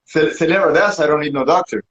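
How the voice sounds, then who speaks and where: A man's voice: a little high, fast, fairly bright tone. Rambling, laughing man on a dull call. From Brazil. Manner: rambling, self-mocking, laughs often. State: slightly hoarse. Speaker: podcast host. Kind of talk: casual chat on a live stream. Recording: dull lo-fi sound.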